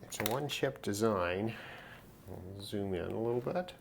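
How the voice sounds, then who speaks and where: A man giving casual, unscripted commentary on a hands-on repair, halting and musing to himself as he works. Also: on the low side, animated. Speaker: host of a hobby radio repair video. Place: at a desk, indoors. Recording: clear background noise, handling clicks.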